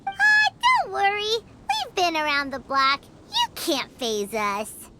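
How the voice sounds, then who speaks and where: An actress voicing a tiny, naive, chatty fairy companion with a childlike manner in an anime game. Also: female voice, extremely high pitch, huge pitch swings, slow pace, pushed and bright, slight background noise, full character voice. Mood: breezy. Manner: childlike, speaks of self in third person.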